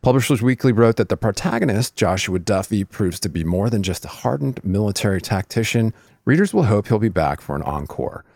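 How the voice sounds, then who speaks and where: Low-pitched male podcast host, lively intonation. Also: a little fast, gravelly, dry recording, studio quality. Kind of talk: scripted podcast intro and ad reads, interview chat.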